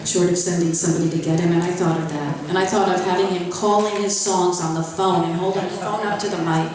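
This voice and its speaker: A woman's voice: lowish voice, steady tone, quick pace, crowd noise. Lady hosting a library show, rambly and jokey, far off in a big echoey room. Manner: chatty, self-deprecating, loose with fillers and restarts. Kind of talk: casual emcee patter to a live audience. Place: large echoey room with a live audience. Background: audience murmurs and laughter.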